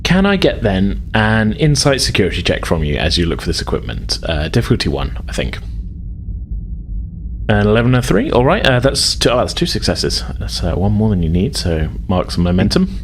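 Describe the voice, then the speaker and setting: A man narrates a tabletop roleplaying game as its game master in a very low voice. He improvises conversationally, hedging as he goes, with slight background noise. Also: very animated, brisk.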